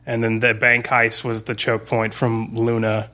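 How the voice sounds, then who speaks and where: A man's voice: mid-range voice, monotone, measured pace, pushed and bright. A man casually talking through a tabletop game campaign he ran, as its game master. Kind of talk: casual discussion, looking back on a game campaign.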